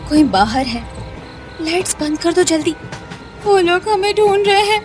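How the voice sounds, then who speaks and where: A woman's voice: extremely high pitch, expressive, a little slow, soft background music. A woman playing frightened, protective young female relatives in a melodramatic story, anxious and urgent. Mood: alarmed.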